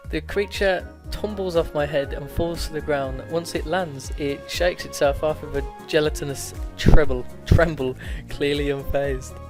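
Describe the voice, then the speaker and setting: Stumbling gamer reading aloud. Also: male voice, fairly high pitch, fairly even intonation, measured pace, few pauses, music in the background. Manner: stumbling, self-correcting reading with frequent laughs and swearing. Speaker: let's play host. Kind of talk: casual gameplay commentary, reading visual novel aloud.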